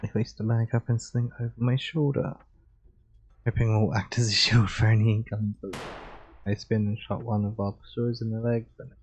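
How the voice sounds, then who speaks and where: A man livestreaming a game and reading visual novel narration aloud in a relaxed, chilled manner. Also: on the low side, brisk, slightly rough, low-quality recording. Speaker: game streamer.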